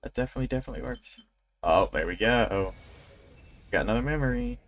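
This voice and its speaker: A man's voice: medium pitch, huge pitch swings, fast, a bit bright. A man chatting casually as a game streamer, rambling in a conversational way. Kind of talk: casual livestream chat. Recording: game music behind voice. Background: video game music.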